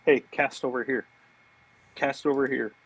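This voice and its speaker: A man's voice: medium pitch, fairly even intonation, a little slow, slightly rough, low-quality recording. A man with a laid-back, slangy way of talking, chatting casually about fishing as a podcast guest.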